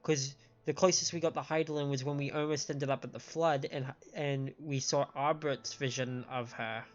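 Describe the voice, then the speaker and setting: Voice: mid-range voice, steady tone, normal speed. A game streamer giving casual, analytical live commentary and explaining things in a conversational way. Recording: dull lo-fi mic over faint music. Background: faint game music.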